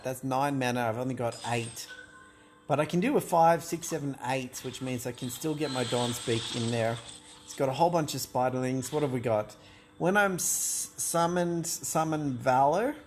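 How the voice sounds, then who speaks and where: A man commentating his own card game match, thinking aloud in a hesitant, self-doubting way, with many restarts and fillers. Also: medium pitch. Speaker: gameplay commentator.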